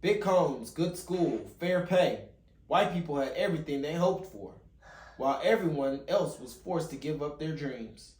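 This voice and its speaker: A man's voice: fairly high pitch, animated, unhurried, a little mellow. Echoey man reading a picture book aloud. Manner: stumbles and rereads phrases as he reads. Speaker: father hosting a children's read-along channel. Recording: roomy, echoey home recording.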